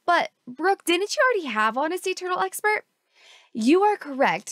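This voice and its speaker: Woman podcast host, 30s, high voice, eager and gushing, dry close mic. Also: no echo. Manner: enthusiastic, gushing. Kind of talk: scripted intro then casual interview.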